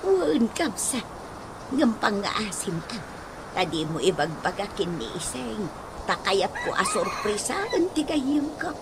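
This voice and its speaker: A woman playing a trusting, gentle blind friend in a radio comedy drama, with drawn-out phrasing. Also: medium pitch, big pitch swings, very noisy. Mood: hopeful, confiding.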